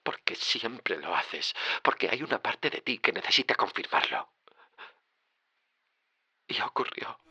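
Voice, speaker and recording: An actor playing a haunted driver recounting his dread in a horror audio drama, with suspenseful pauses. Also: big pitch swings, sharp tone, lo-fi sound, heavily acted. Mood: subdued, uneasy. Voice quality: low, gravelly. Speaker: narrator and lead character. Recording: dramatic music under the voice. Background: music.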